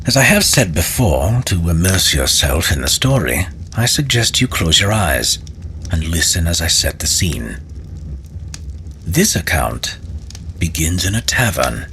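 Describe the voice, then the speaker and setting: Very deep-voiced theatrical male storyteller. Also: sing-song, a little slow, sharp tone, a little hiss or hum. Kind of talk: dramatic scripted fantasy narration.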